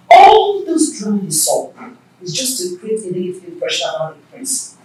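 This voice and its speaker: A woman's voice: medium pitch, warm tone, echoey room, far from the mic, slight background noise, natural voice, low-quality recording. An actress playing a royal mother who earnestly counsels her son and the king. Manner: earnest, persuasive. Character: royal mother, counselling queen, wise and calm.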